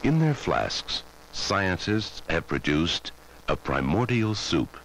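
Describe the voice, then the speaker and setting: A man narrating a scripted educational film in a measured, dramatic way, on a 1960s film soundtrack. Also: sits low, very animated, a bit bright, a little hiss or hum, poor audio. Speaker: documentary narrator.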